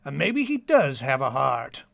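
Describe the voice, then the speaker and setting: A man's voice: medium pitch, wildly swooping pitch, measured pace, creaky, a bit bright, no room sound, heavily acted. A man playing a pompous doctor who trades insults in an old-time radio comedy, florid, mock-formal and needling. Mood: wry.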